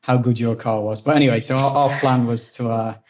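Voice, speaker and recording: A man in his forties from Liverpool with a Liverpool accent, a guest telling stories in a relaxed interview and often repeating words while he thinks. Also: mid-range voice, brisk, slight background noise. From Liverpool, England. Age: 40s. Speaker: guest, engineering executive. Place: office at company headquarters.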